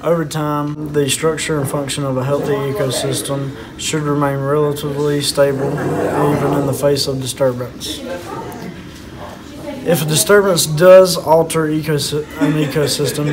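A teenage boy with a fairly high voice reads a school presentation evenly and carefully. A crowd chatters clearly in the background. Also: flat delivery, normal speed, rough recording. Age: teens. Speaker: student presenter.

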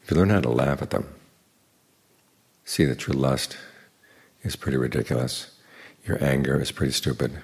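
An older man, a Buddhist monk, giving an evening dharma talk in an unhurried, plain teaching manner with dry humor. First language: English. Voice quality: very deep gravelly voice.

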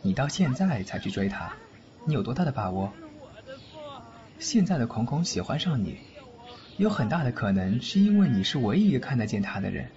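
A man's voice: medium pitch, big pitch swings, a little fast, darkish tone. A man playing a teasing godfather versed in spirit magic, in a theatrical audio drama style. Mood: knowing, explaining. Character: teasing, age-shy godfather who knows spirit magic. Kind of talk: Chinese audio drama acting. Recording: muffled lo-fi, faint noise.

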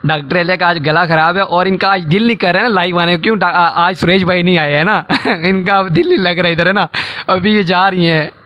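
A man's voice: sits high, fairly even intonation, a little fast, dry recording, muffled recording. A young man on a headset hosting a casual live stream video call, thanking and urging viewers to follow. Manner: pleading with viewers, repeating thanks. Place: indoor room, video call.

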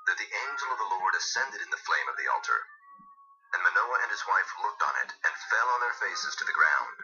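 A man's voice: low voice, bright, forceful tone. Solemn male Bible narrator, music behind. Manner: solemn, measured storytelling. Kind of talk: dramatized scripture narration. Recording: dull lo-fi audio with music behind.

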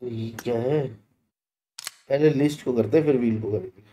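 A man's voice: mid-range voice, moderate pace, mellow, slight background noise. A man in his thirties with an Indian accent, chatting casually as he livestreams a game. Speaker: gaming streamer. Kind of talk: casual livestream chat while setting up a game. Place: indoor streaming setup.

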